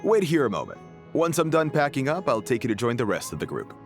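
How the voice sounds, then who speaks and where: A friendly yet watchful desert guard in a video game, with a sly streak. His mid-pitched voice swings widely and expressively as he probes. Music runs underneath. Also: sing-song, quick pace. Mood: friendly, helpful.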